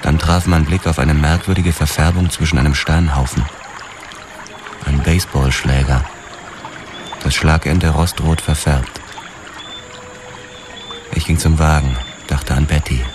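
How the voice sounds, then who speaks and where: An actor playing a haunted, hard-boiled police detective, narrating a noir radio drama in measured, clipped phrases. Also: male voice, a bass voice, fairly even intonation, over music. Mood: shaken, brooding. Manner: measured, clipped, grim storytelling. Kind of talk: scripted first-person noir crime narration, radio drama.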